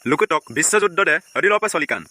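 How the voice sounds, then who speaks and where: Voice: mid-range voice, expressive, fast talker, sharp tone, no room sound. An actor voicing a scolding, exasperated schoolteacher in a cartoon comedy.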